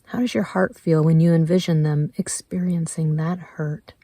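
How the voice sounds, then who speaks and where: A woman leading a guided meditation in a gentle, measured way, leaving pauses between her prompts. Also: low voice, steady tone, no room sound. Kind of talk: slow guided meditation narration.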